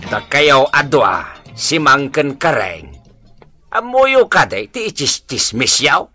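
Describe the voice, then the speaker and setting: A man's voice: medium pitch, very animated, takes it slowly, bright, forceful tone, soft background music, full character voice. A man acting a bossy, exasperated village captain with an Ilocano accent in a comedy radio drama, scolding and authoritative. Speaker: barangay captain.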